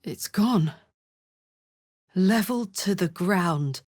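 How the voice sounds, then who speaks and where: Bold tiefling warrior woman, big-hearted, cheeky slang, mid-pitched, very dry studio sound, game dialogue. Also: lively intonation, takes it slowly, a little mellow, no room sound. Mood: somber. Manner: blunt, swaggering, slangy and sweary. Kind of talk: video game character voice lines.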